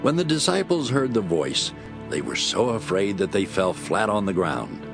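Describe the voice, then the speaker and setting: A man's voice: fairly low pitch, big pitch swings, moderate pace, creaky. A man giving scripted narration of scripture as the narrator of an audio drama. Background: music.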